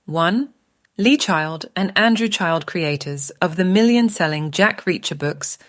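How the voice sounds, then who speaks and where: Low-voiced woman reading her audiobook. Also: expressive, unhurried, dry recording, studio quality. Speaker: author and writing coach narrating own audiobook. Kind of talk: scripted audiobook narration.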